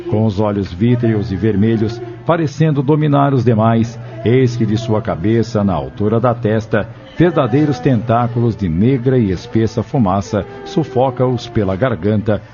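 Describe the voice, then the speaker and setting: A man narrating an audio drama from a script. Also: fairly low pitch. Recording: muffled lo-fi, loud music behind.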